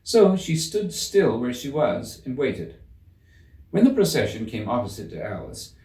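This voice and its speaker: A man giving a measured, theatrical reading of a children's story in an echoing room. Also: medium pitch, sing-song, dark tone, distant mic. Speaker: audiobook narrator. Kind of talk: expressive scripted storybook narration. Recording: roomy echo, slightly off the mic.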